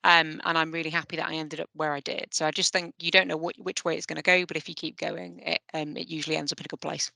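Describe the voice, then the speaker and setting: A young woman, a lawyer and alumna, giving brisk, upbeat advice on an online panel. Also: deep voice, little pitch movement, gravelly, pushed and bright, no echo. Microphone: video call.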